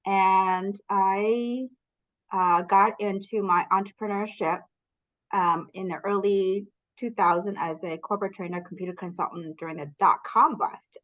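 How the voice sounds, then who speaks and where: A woman's voice: on the low side, a bit bright, muffled recording. A middle-aged woman from Canada, a business coach telling her story in a candid, earnest, motivational way during a casual interview.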